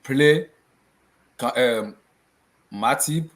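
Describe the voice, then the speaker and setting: A man hosting a casual football discussion show, asking his guests questions in an animated way. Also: mid-range voice, drawn-out words. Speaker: host.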